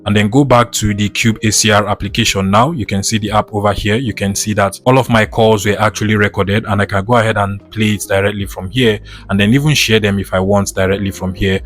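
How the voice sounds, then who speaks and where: A man's voice: lowish voice, steady tone, fairly quick, few pauses, fairly bright tone, faint music behind. A man narrating a step-by-step tech tutorial in a methodical, instructional manner. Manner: methodical, instructional, repeats set phrases. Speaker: tutorial host.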